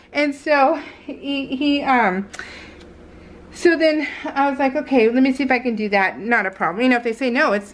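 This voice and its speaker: An American woman chatting casually as a craft vlogger, telling stories in a rambling, animated way and acting out what people said. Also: a little high, slight background noise. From California, United States. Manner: rambling, animated retelling with acted-out quotes. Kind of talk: casual chat while crafting, voiced in real time.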